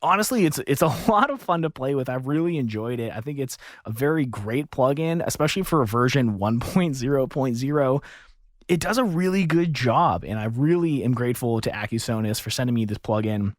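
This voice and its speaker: Guy in his 30s doing an easygoing demo video, medium pitch, super dry close mic sound. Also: lively intonation, a little fast, no room sound. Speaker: video host reviewing audio plugins. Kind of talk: casual product demo chat. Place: small home studio, indoors. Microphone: close studio mic on boom arm.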